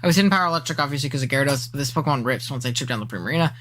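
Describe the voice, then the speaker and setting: A young man streaming live, his voice light and high rather than deep. He rambles and restarts, ribs his viewers and laughs at himself, and a faint hum sits under him. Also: racing, a bit bright. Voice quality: high-pitched, not deep. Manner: rambling, self-deprecating, teasing chat. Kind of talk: casual live-stream reaction chat.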